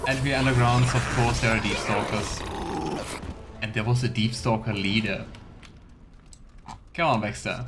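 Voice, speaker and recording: A man's voice: mid-range voice, steady tone, a little slow, pauses often, very noisy. A man giving casual, musing let's play commentary with wry teasing asides. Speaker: game streamer.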